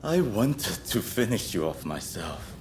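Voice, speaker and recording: A man's voice: low-pitched, sing-song, measured pace, very noisy, exaggerated voice. A man voicing a cold, menacing swordsman in a dramatic video game cutscene. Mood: cold menace.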